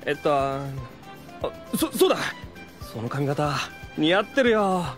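An actor playing a flustered, lovesick high school boy who stammers and mutters to himself in a Japanese audio drama. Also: big pitch swings, a little slow. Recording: music under the voice.

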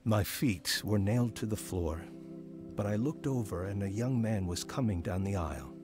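Male actor as earnest american dad telling his testimony, lowish voice, music underneath, radio drama. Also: lively intonation, normal speed, lightly acted. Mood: reflective. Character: earnest repentant father and husband telling his life story. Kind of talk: dramatized first-person narration in a radio drama.

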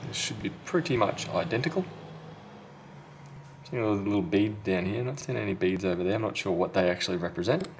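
Low, creaky-voiced man giving repair tutorial. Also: lively intonation, fairly quick, a little hiss or hum. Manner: matter-of-fact, talking while working with hands. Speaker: phone repair technician, tutorial host. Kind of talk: casual step-by-step repair tutorial. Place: indoor repair workbench.

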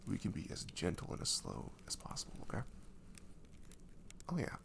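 A man playing a gentle, caring boyfriend in an intimate ASMR roleplay, speaking softly and breathily, often half-whispering, with casual, hesitant reassurance. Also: low voice, huge pitch swings, normal speed, vocal fry, a little hiss or hum, heavily acted. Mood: gentle, reassuring. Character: caring, gentle young boyfriend comforting his girlfriend.

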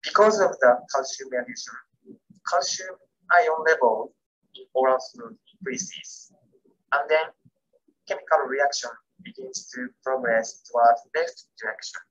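Bright, husky man reading a lecture. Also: mid-range voice, lo-fi sound. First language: Japanese. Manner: careful, measured reading with non-native grammar. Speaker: keynote speaker. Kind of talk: scripted academic lecture.

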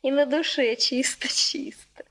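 A refined young woman in a 1960s Soviet radio play. Her voice is on the high side, and she speaks softly, with wistful, sentimental reserve. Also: fairly even intonation, measured pace, in a whisper, low-quality recording.

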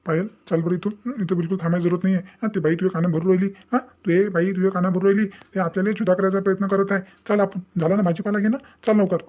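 A man's voice: high voice, steady tone, fast talker, few pauses, a little mellow. A man voicing an impatient, possessive young lover in a cartoon moral story, with a rural Varhadi Marathi accent, repeating his commands insistently. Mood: irritated. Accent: rural Maharashtra, Varhadi Marathi.

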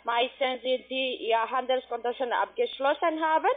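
A woman's voice: high voice, normal speed. A woman with a foreign accent in German, selling over the phone as a call centre agent and often tagging statements with a checking question. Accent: foreign, non-native German. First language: not German. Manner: frequent checking tag questions. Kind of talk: phone sales pitch. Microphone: telephone. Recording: muffled narrow phone line.

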